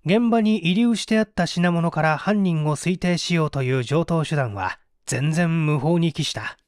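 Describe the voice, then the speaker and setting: A man reading a mystery story as a narrator, in a measured, dramatic storytelling style. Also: fairly high pitch, no room sound, clean studio sound. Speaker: audiobook narrator.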